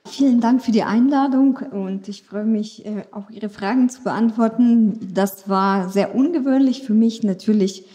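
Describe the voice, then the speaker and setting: Mellow-voiced woman with a Ukrainian accent. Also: fairly high pitch, a little slow, few pauses. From western Ukraine. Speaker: panel guest. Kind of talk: panel discussion, personal recollection.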